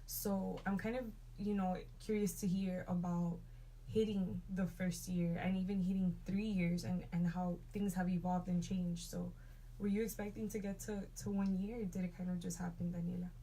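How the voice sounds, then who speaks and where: Mellow, even-toned woman hosting. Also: fairly low pitch, normal speed, slight background noise, poor audio. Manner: upbeat, conversational, uses um and like. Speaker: host. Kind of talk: hosted talk show.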